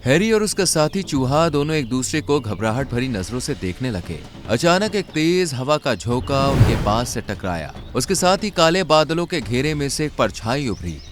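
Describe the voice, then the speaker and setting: A man's voice: medium pitch, big pitch swings, normal speed, few pauses, noisy background. A man narrating a scripted children's story as its storyteller.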